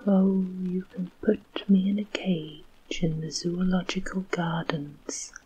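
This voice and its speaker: A woman voicing a self-pitying, tragic old male dragon in a slow, breathy whisper in a storybook reading. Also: on the low side, a little mellow, full character voice, rough recording.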